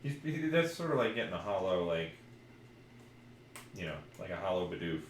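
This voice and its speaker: A man's voice: low voice, sing-song, normal speed. A man chatting casually as a podcast co-host and reading out trading cards as he goes. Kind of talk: casual chat while opening trading card packs. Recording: echoey room, faint background noise.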